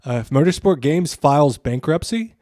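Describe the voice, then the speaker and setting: A man's voice: fairly high pitch, lively intonation, normal speed, warm tone, no room sound, clean studio sound. A man co-hosting a podcast with casual, opinionated commentary, emphatic, repeating phrases for stress and swearing freely. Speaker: podcast co-host.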